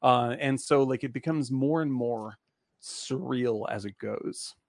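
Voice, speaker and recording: A man casually discussing a film with a friend, talking in a rambling, animated way. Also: mid-range voice, unhurried, creaky, dry recording, studio quality.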